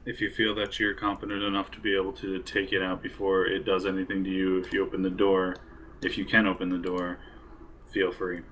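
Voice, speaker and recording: A man with a low, very monotone voice plays a tabletop-style role-play. He sounds careful and deliberate as he talks through plans with a group. There is faint noise behind him. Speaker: role-play game player. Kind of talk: casual in-character group planning. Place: online voice session for streamed role-play game.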